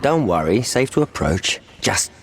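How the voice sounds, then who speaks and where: A man's voice: fairly low pitch, very animated, unhurried. An actor playing a laid-back male hunter who rides a drake, talking in dry clipped sentences. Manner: dry, clipped, drops words. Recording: noisy game background.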